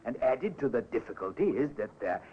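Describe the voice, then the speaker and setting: An actor as a courteous, hesitant physician in a fifties radio play. His pitch moves freely and expressively. The recording is dull and somewhat noisy. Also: sing-song, a little fast, close to an everyday voice. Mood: genial, musing. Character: genial, hesitant Victorian physician giving opinions. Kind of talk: 1950s radio acting.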